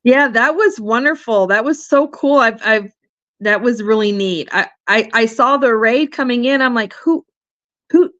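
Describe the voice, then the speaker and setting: A woman's voice: a little high, measured pace, fairly bright tone, no room sound, muffled recording. Chatty woman hosting a livestream, fading voice. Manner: chatty, many fillers and restarts. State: losing her voice. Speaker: livestream host. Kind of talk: casual livestream chat.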